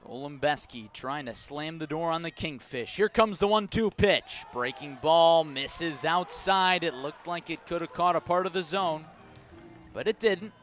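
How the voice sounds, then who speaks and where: A man's voice: on the high side, a little slow, a bit bright, faint music behind. A man doing live baseball play-by-play on the radio in clipped, descriptive phrases that build with the action, outdoors at a ballpark. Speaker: play-by-play sports announcer.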